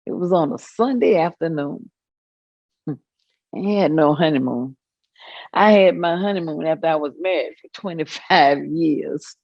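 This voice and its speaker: Woman acting elderly grandmother, lowish pitch, very unhurried, homely, matter-of-fact, staged reading. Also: dry recording, dull audio. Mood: fond, amused. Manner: plainspoken, pausing to reminisce. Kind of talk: dramatic staged reading of oral history.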